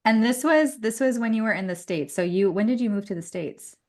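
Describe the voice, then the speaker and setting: A woman's voice: mid-range voice, fairly quick, darkish tone. A woman hosting a podcast, speaking in the style of show introductions and interview questions. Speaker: podcast host.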